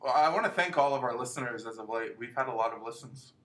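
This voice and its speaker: A gentle-voiced man chatting on a podcast, his tone bright and forward. He rambles and laughs easily, full of fillers. The room adds a noticeable echo around him. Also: mid-range voice, quick pace. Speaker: podcast co-host. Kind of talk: casual podcast banter. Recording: echoey room.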